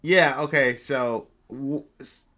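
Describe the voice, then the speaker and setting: A man from Jamaica, co-hosting a casual live chat, animated and laughing often as he reads comments. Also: medium pitch, slow pace. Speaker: co-host.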